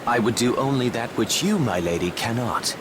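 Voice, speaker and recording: An actor playing a proud, guarded young knight in a fantasy game, speaking in measured, formal archaic phrases. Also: male voice, medium pitch, expressive, background noise, close to an everyday voice. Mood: cool, calculating. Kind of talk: dramatic fantasy game voice acting.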